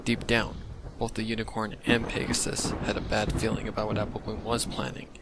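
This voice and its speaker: A man narrating a scripted dark mystery story as a dramatic reading. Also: lowish voice, monotone, fairly quick, very noisy. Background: thunder and rain effects.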